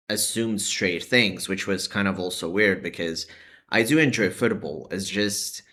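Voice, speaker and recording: A man's voice: on the low side, a bit bright. A young man talking casually to camera in a vlog, hesitant and full of "like" and "sort of" hedges. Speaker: vlogger.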